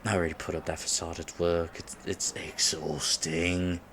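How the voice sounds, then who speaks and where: A man's voice: low voice, flat delivery, normal speed, fairly bright tone, slight background noise. A man acting in a roleplay audio drama as an awkward, geeky man on a blind date, stammering, flustered and self-deprecating. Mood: surprised, relieved.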